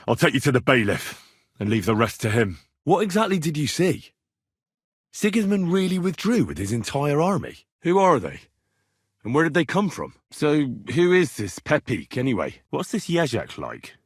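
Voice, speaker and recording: A young medieval Bohemian adventurer in a video game, earnest but quick to lose his temper. His husky, mid-pitched voice moves in animated leaps and dips. The delivery is blunt and expressive, captured close and dry. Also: dry recording, heavily acted. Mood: questioning, puzzled. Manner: expressive, blunt, frequent swearing. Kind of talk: video game dialogue voice lines.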